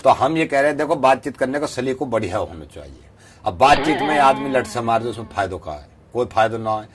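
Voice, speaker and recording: A man's voice: mid-range voice, lively intonation, a little fast, crowd noise, natural voice. A man putting on a brash, rambling rustic professor. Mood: deadpan, self-assured. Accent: rural western Uttar Pradesh. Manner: rambling, repetitive, bluntly folksy. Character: male fake foreign-trained professor, rustic, brash, self-assured. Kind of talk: deadpan prank-call character.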